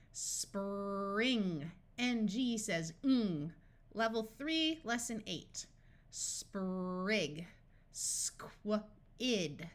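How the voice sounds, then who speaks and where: A woman reading tutor delivers a scripted phonics lesson at a very slow pace. Her pitch sits in the middle for a woman. She speaks deliberately, breaking words into their sounds piece by piece.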